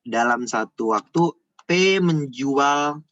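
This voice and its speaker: A man's voice: medium pitch, slow pace, fairly bright tone, no echo, lo-fi sound. A man giving an informal step-by-step tutorial, repeating himself and often adding small checking tags. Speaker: accounting tutor.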